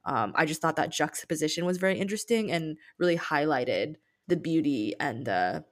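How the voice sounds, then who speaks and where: A woman with a fairly deep voice co-hosts a casual book podcast. She sounds reflective and appreciative as she works through her thoughts conversationally. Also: lively intonation, brisk. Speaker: co-host of a book discussion podcast.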